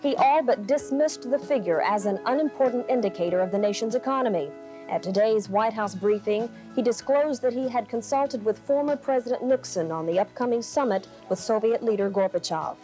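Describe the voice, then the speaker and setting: A woman's voice: fairly high pitch, a little fast, dark tone. A woman reading local television news as an anchor, in a newscast style. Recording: faint music, 1980s TV broadcast.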